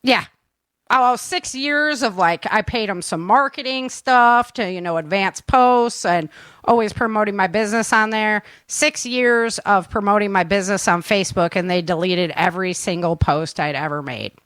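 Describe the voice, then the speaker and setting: A woman's voice: medium pitch, normal speed, a bit bright, smooth, no room sound. A woman hosting a show, chatting casually and informally. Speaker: show host and author. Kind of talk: casual chat.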